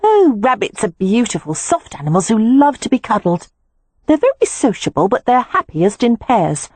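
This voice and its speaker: A woman's voice: a little high, huge pitch swings, moderate pace, darkish tone, breathy edge, dry recording, pitched above the performer's own voice, exaggerated voice. A woman voicing a frank, earnest young woman who loves animals, speaking in a matter-of-fact way. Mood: fond.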